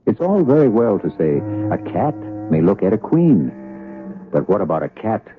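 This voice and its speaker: A man's voice: on the low side, big pitch swings, normal speed. A man narrating a radio drama in a theatrical, scripted storytelling style. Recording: narrow, thin old radio broadcast.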